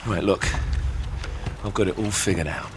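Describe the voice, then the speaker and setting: An actor playing a smooth-talking, cocky British male thief in a video game cutscene. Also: deep voice, normal speed, background noise, lightly acted. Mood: scheming. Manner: breezy, cocky, scheming. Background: game music.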